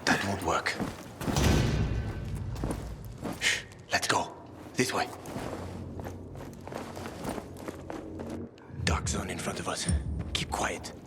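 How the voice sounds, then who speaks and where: A low male voice of an actor playing a middle-aged survivor guide in a game cutscene. He is weary, wry and protective, speaking plainly and often hushed over clearly audible background noise. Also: fairly even intonation, moderate pace, close to an everyday voice. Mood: hushed, wary. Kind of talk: video game cutscene acting.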